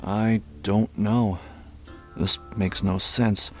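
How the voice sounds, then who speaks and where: A lowish, grim voice from a man playing a puzzled detective in an audio drama, slow and heavy. Faint music sits under his lines. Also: level tone.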